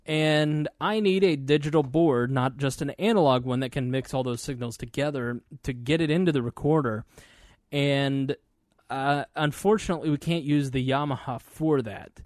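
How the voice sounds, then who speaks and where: Male podcast host, relaxed rambling chat, studio mic, dead-dry sound. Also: mid-range voice, normal speed, no room sound. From Indiana, US. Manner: chatty, informal, many fillers and restarts. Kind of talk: casual solo talk about podcast gear. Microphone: studio microphone.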